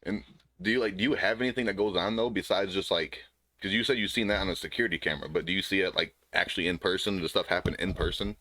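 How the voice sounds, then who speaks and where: A man's voice: low-pitched, quick pace, sharp tone, dry recording. A man co-hosting a podcast in casual chat, joking and laughing easily. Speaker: podcast co-host.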